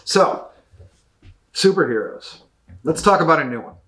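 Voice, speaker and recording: A man's voice: on the high side, animated, a little slow, fairly bright tone. A middle-aged man giving a casual, enthusiastic and discursive review of new tabletop games as a store presenter. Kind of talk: casual product review talk to camera.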